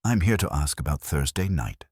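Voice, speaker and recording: A man voicing a brisk, wisecracking male detective who questions people tersely and wryly in an audiobook mystery. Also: a bass voice, sing-song, a little mellow, husky, dry recording, clean studio sound, full character voice. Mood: probing. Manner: terse, wry.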